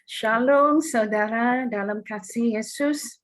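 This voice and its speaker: A woman's voice: fairly high pitch, slow pace. Hong Kong woman giving a church testimony. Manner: earnest, with hesitations and non-native phrasing. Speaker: testimony speaker.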